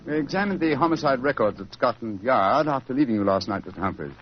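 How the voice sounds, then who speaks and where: An actor in a 1940s radio drama playing a shrewd, self-assured British gentleman detective, crisp and courteous in delivery. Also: mid-range voice, animated, fast talker, over music, natural voice. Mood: brisk, confident. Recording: dull, noisy 1940s radio recording.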